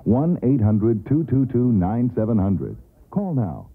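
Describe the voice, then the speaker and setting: A man's voice: medium pitch, very animated, unhurried, breathy edge. An American man announcing a scripted radio advertisement. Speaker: commercial announcer. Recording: thin narrowband AM radio recording.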